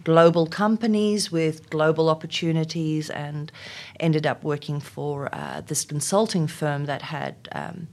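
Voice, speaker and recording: Low-voiced South African woman. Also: lively intonation, unhurried, a little mellow. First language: Afrikaans. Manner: thoughtful, many ums and restarts. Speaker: interview guest, HR executive. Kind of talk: reflective interview answers.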